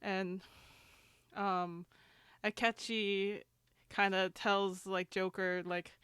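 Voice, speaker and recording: A woman chatting casually, retelling things in a halting, filler-heavy way with small laughs. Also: medium pitch, level tone, a bit bright, clear, resonant tone, no echo, studio quality. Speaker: podcast panelist. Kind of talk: casual chat.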